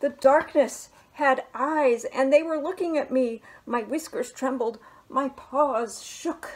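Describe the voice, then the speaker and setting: Slow, gentle woman reading a storybook. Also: high-pitched. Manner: gentle storytelling with soft, hushed asides. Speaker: children's librarian. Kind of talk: reading a picture book aloud. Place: small living room at home.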